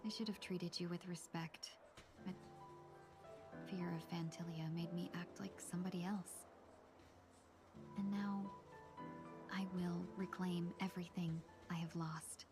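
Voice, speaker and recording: A woman voicing a courteous yet resolute fox-woman merchant in a video game, speaking formally and eloquently in measured phrases. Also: lowish voice, level tone, lightly acted. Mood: earnest. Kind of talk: scripted video game dialogue. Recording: clear music behind the voice. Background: game music.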